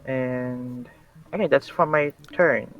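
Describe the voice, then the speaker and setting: A man playing a tabletop game on stream, relaxed and giving short, easygoing replies. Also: mid-range voice, slow, dull audio, soft background music. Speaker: player. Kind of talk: casual tabletop game chat.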